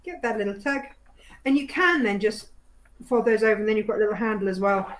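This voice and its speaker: A woman's voice: mid-range voice, brisk. A woman guest who designs sewing patterns, cheerfully showing steps and chuckling as she talks. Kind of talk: casual demonstration chat.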